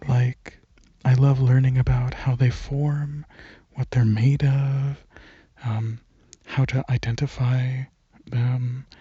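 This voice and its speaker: Young male ASMR rambler: hushed, hesitant, breathy and unhurried, on a dull, close-miked lo-fi recording. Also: mid-range voice, level tone, no room sound. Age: young adult. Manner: soft-spoken, hesitant, drifting into near-whispers. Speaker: ASMR channel creator.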